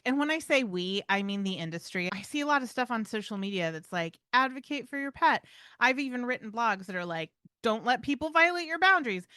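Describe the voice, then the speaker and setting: A woman's voice: medium pitch, sharp tone, clear, resonant tone, no room sound, clean studio sound. A woman co-hosting a podcast in a conversational style, with emphatic delivery and casual asides. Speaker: podcast co-host. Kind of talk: conversational pet-behaviour podcast talk.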